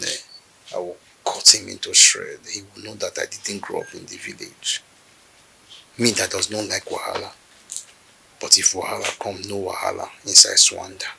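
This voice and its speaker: A man's voice: deep voice, vocal fry, bright, forceful tone, slight background noise, exaggerated voice, poor audio. A man with a Nigerian accent playing a short-tempered man who mutters threats at someone who has annoyed him.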